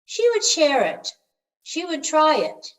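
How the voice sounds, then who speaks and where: A woman reads a children's story in a fairly high, very melodic voice. She takes her time, lingering on phrase endings, and her delivery is gentle and expressive. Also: slow pace, poor audio. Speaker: storybook reader. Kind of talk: children's storybook read-aloud.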